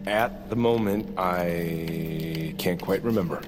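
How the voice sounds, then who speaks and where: An actor playing a wisecracking, defiant grown son on a rescue, with flippant deadpan sarcasm, in an audio drama. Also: male voice, deep voice, takes it slowly, music in the background. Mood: stubborn, flippant. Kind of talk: audio fiction acting.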